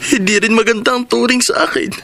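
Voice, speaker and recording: An actor playing a meek, mistreated young son in a radio drama, hesitant, polite and pleading. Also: male voice, very high voice, steady tone, fast, creaky, pushed and bright, slight background noise, exaggerated voice. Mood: sad, hurt.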